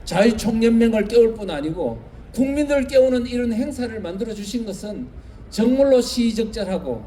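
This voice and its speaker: High-pitched man with mellow voice, rallying. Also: lively intonation, brisk, a little hiss or hum. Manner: rhetorical questions, urgent and forceful argument. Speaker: professor, rally panelist. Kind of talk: impassioned rally speech. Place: outdoor street rally.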